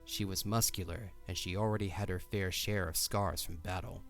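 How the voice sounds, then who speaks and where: Deep-voiced male story narrator. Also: fairly even intonation, normal speed, vocal fry, a bit bright, soft background music. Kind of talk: scripted fantasy story narration.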